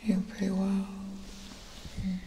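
A young woman doing a slow, serious, hushed ASMR roleplay as a tough, caring space officer tending wounds. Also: mid-range voice, completely flat delivery, reverberant, a little hiss or hum. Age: young adult.

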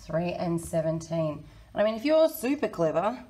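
A woman in her thirties with a croaky, hoarse voice, teaching a children's maths lesson in a friendly, encouraging way. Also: on the low side, sing-song, moderate pace, mellow. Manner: friendly, encouraging, talks to kids. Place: small indoor room.

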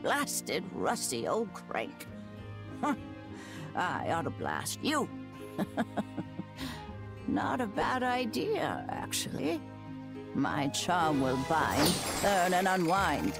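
A woman's voice: fairly low pitch, huge pitch swings, extremely slow, long pauses, music in the background, full character voice. An actress playing a feisty, doting elderly grandmother in a cozy video game, with a folksy, old-timey way of talking. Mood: grumbling, conspiratorial. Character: feisty, affectionate elderly witchy grandmother on a farm.

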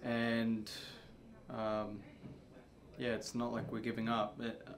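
A young man, a soccer player, answering questions at a post-match press conference in a subdued, halting way with many fillers. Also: mid-range voice, monotone, a little hiss or hum. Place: press room before a sponsor backdrop.

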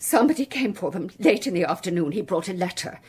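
Miss Lawson, fluttery, very breathy lady. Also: lowish voice, expressive, fast. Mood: upset, fussy. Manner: fussy, emotional, flustered. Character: fluttery, tender-hearted spinster lady, anxious and easily upset. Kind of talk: radio drama acting.

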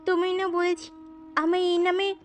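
A woman's voice: extremely high pitch, completely flat delivery, moderate pace. A woman playing a hot-tempered, possessive but secretly loving young wife in a romantic audio story, with a scolding, coquettish manner. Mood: pouting, demanding. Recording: music under the voice.

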